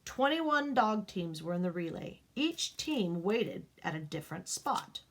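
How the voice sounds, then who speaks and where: Slow, expressive woman reading a children's book. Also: mid-range voice, slight background noise. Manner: expressive, rising and dropping to a hush for drama. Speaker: storybook reader.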